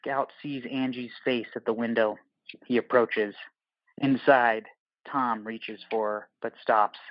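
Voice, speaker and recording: A man reading scripted stage directions for an audio drama as narrator, in deliberate, dramatic short phrases. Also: medium pitch, steady tone, dry recording, muffled recording.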